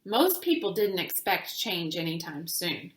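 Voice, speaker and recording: A woman reading a children's book aloud in a deliberate, teacherly way, pausing to speak to young listeners. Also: on the low side, big pitch swings, echoey room. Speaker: reader of children's books for a virtual summer camp. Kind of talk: read-aloud storytelling with asides to children.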